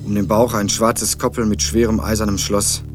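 An actor playing a young student in a radio crime drama, speaking in a dry, laconic, probing way. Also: male voice, on the low side, flat delivery, moderate pace, music in the background, close to an everyday voice. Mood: dry, reflective. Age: young adult. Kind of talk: 1980s radio crime drama, scripted narration and dialogue.

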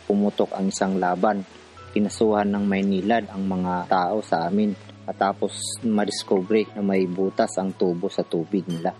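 Casting: actor as a resolute grassroots activist, lower-pitched man, earnest radio drama narration over soft music. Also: close to an everyday voice. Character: earnest, determined urban poor activist telling his story. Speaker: narrator and protagonist.

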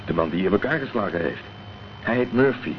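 An actor playing a blunt, protective middle-aged private detective in a Dutch radio drama, terse and direct. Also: male voice, on the low side, lively intonation, fairly quick, close to an everyday voice. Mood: sober, explaining. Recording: muffled, noisy old radio recording.

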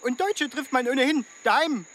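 High-voiced, stammering, timid wanderer. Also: male voice, moderate pace, fairly bright tone, slight background noise. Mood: nervous, eager. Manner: stammering, flustered. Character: timid, nervous Saxon wanderer, a moustached man in leather. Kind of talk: radio play acting.

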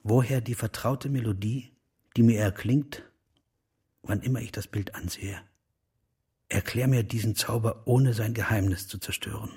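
An actor playing an enigmatic, theatrical translator and lover in a radio drama, speaking in a lyrical, deliberate way. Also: male voice, on the low side, steady tone, a little mellow, husky, no room sound, studio quality. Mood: lyrical, wistful.

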